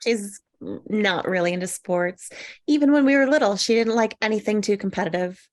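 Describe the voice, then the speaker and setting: A woman playing an awkward, quietly competitive single woman in a screenplay table read, conversational and defensive. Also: medium pitch, moderate pace, lightly acted. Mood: polite, guarded.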